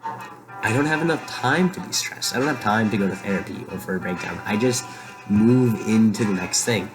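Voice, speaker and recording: A young man hosting a rambling solo monologue from a room in a shared house, talking quietly and musing aloud with lots of fillers. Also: on the low side, moderate pace, poor audio. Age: young adult. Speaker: podcast host. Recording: quiet home recording with music behind. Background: faint music playing.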